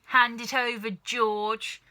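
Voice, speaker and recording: Woman putting on a furious, bossy old granny, sharp-voiced. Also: on the high side, level tone, slow. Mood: demanding. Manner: yelling, demanding, bad-tempered. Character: horrid, furious old grandmother, greedy and bossy. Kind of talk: children's storybook reading.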